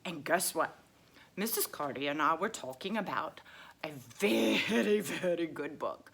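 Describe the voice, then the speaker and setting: A woman voicing a sweet, excitable lady who reads to children, drawing out words with exaggerated wonder. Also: deep voice, full character voice. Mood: cheery, excited. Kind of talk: children's story reading.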